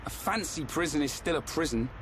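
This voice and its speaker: Brash young fighter. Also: male voice, on the high side, lively intonation, a little fast, a bit bright, a little hiss or hum, low-quality recording. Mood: angry, suspicious. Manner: blunt, informal. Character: brash, blunt young male fighter, loyal. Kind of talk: dramatic video game voice acting.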